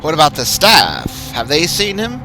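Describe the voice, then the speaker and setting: A man's voice: fairly high pitch, huge pitch swings, normal speed, over music, pitched above the performer's own voice. A man voicing a concerned, skeptical male best friend who questions in disbelief. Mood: incredulous.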